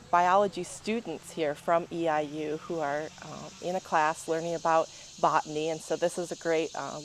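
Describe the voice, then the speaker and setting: A woman's voice: deep voice, a little hiss or hum. A woman being interviewed, talking as a volunteer program coordinator. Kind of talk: on-camera interview.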